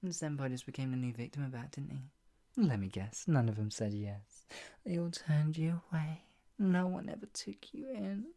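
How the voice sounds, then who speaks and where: A woman playing a menacing, obsessive yandere captor in an ASMR roleplay, husky and taunting, swinging between mock pity and threat, often dropping into a breathy whisper. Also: low-pitched, lively intonation, moderate pace, warm tone. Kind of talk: ASMR roleplay, improvised monologue.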